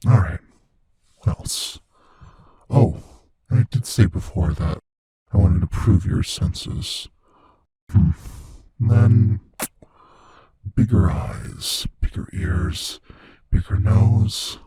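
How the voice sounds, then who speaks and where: Man voicing a husky, low, ancient monster. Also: lively intonation, no echo, exaggerated voice. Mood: gloating, playful menace. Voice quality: hushed, husky, breathy low voice. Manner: slow, menacing, philosophical, intimately taunting. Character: ancient immortal male monster, sadistic, world-weary, savoring fear. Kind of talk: ASMR horror audio roleplay. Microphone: close studio mic, dry.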